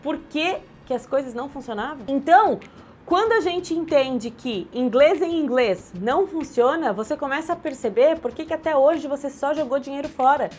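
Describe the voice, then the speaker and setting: A Brazilian woman in her thirties, an English teacher talking straight to her viewers in a chatty, animated storytelling way. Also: sits high, moderate pace, a little hiss or hum. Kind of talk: direct-to-camera sales talk.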